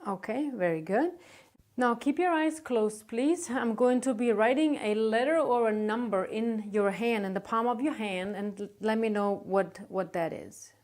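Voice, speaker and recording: A woman's voice: fairly high pitch, a little slow, a little mellow. A woman teaching a clinical exam, giving a patient polite, step-by-step directions with brief words of encouragement. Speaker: nursing instructor demonstrating an exam.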